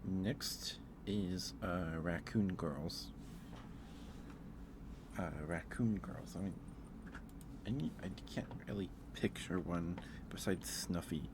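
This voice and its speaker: A man with a low-pitched voice hosts a casual ASMR stream. He speaks softly and hesitantly, rambling as he thinks aloud. There is clear background noise on the recording. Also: takes it slowly, pauses often. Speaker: livestream host. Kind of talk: casual ASMR livestream chat, ranking aloud.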